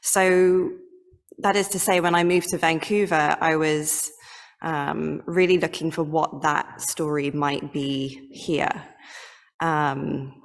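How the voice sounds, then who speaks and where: An English woman photojournalist introduces herself on a panel, unhurried and full of ums. Her voice sits very low with a gravelly fry. A long hall reverb trails behind her. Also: steady tone. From England. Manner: measured, with frequent ums.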